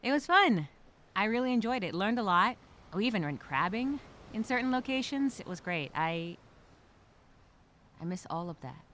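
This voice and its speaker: Woman acting a bright, expressive stranded castaway. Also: mid-range voice, moderate pace, long pauses, slight background noise. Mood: anxious, subdued. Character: stranded woman castaway, scared, determined, writing a survival journal. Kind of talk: dramatic first-person journal monologue.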